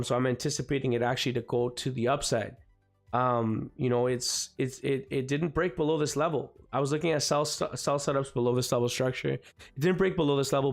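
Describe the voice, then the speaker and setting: A man with a slightly raspy voice from the cold hosting a live trading stream, chatting casually and filling gaps with "guys" and "you know what I mean". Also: mid-range voice. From Canada. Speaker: forex trading livestream host. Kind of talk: live market commentary. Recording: faint music behind.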